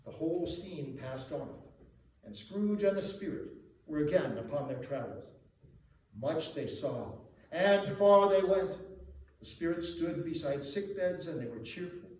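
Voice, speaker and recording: A man giving a dramatic literary reading as a storyteller, theatrical and measured. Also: a little high, animated, darkish tone. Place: reverberant indoor venue. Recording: echoey room, distant mic, faint noise.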